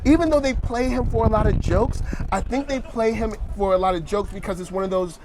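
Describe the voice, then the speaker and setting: A man on a casual panel, talking in a relaxed, reflective way with plenty of slang. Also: high voice, fairly quick, background noise.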